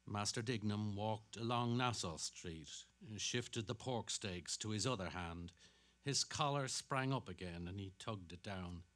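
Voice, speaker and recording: A man's voice: on the low side, unhurried, fairly bright tone. A man narrating literary fiction as a dramatic reading.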